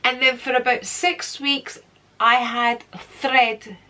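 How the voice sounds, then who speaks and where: A woman's voice: a little high, steady tone, takes it slowly, bright, forceful tone. A middle-aged woman in a casual vlog chat, a little congested from a cold, talking easily as she points things out. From Britain. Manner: chatty, counting and pointing things out. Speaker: beauty vlogger.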